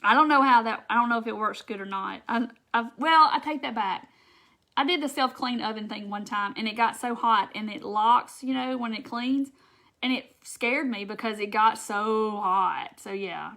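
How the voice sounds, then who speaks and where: Host, female, Southern US accent, bright higher voice, chatty and folksy on a craft live stream. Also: moderate pace. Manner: chatty, folksy, laughs often, addresses viewers by name. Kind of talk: casual chat reading viewer comments.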